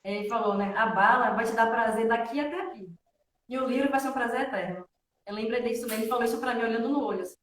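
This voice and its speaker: Female guest, northeastern Brazilian accent, a little high in pitch, chatty storyteller, recorded some way from the mic. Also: quick pace, a little hiss or hum. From Salvador, northeastern Brazil. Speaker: interview guest, devotional student. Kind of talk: casual personal storytelling. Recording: roomy echo, distant from microphone.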